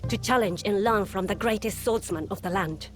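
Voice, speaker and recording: A woman's voice: medium pitch, normal speed, soft background music, natural voice. A woman playing a proud, defiant young warrior in a video game, speaking in an earnest, formal and poetic way. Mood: bold, resolute. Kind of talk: cinematic video game acting.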